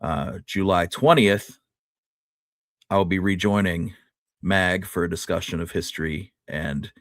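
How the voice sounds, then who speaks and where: A man's voice: sits low, a little slow, a bit bright, dry recording, clean studio sound. A man speaking casually as a podcast co-host, with an easygoing manner.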